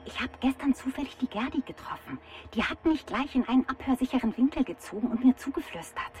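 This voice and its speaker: An excitable woman from a hair salon in a children's radio play. Her high voice comes urgently over an intercom, with faint music behind. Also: fast talker. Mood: excited, conspiratorial.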